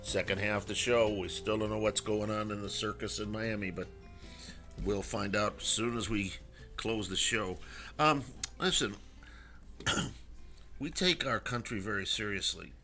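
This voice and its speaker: Low, bright-voiced male radio host. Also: measured pace, soft background music. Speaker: radio talk show host. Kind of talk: conversational talk radio.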